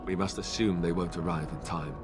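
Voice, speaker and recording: An actor playing an idealistic young warrior in a video game, earnest and expressive with a touch of wry scepticism. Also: male voice, sits low, a little fast, music in the background, close to an everyday voice. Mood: grim, determined. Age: young adult. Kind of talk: dramatic video game acting.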